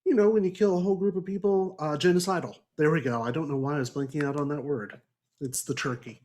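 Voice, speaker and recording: A man's voice: fairly high pitch, steady tone, fast, darkish tone. Man in wry, casual book discussion. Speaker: podcast discussion host.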